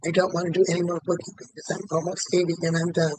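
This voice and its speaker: A man in his late seventies, a client in a tapping session, who repeats one statement over and over in an even rhythm. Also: fairly high pitch, fast talker, no echo. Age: 70s.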